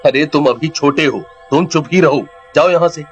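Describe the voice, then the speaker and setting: A man voicing a crude, bossy, lecherous village husband in a cartoon story, gruff and dismissive in colloquial speech. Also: on the high side, normal speed, muffled recording, soft background music, lightly acted. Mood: dismissive, irritated.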